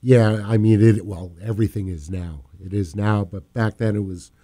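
A man from Massachusetts co-hosting a radio show, rambling casually, trailing off and often clearing his throat. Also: fairly low pitch, steady tone, normal speed, warm tone. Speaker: radio co-host. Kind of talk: casual talk radio banter.

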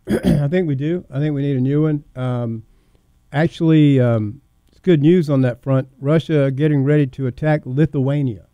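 A man hosting talk radio who needles his co-host with quick questions and stumbles into restarts. His voice sits a bit high but dark, and the recording is dry with a slightly dull, muffled top. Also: no echo. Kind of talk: casual talk radio banter.

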